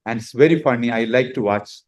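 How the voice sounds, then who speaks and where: A middle-aged man, a yoga and Vedanta teacher giving a spiritual lecture in unhurried, halting phrases with frequent pauses. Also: mid-range voice.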